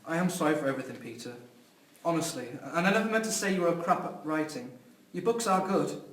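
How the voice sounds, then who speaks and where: Man playing a hurt, high-voiced young man. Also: fast, a bit bright, far from the mic, a little hiss or hum, lightly acted. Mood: dejected. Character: hurt, defensive 21-year-old male tennis player. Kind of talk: audio drama script reading. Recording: echoey room, off mic.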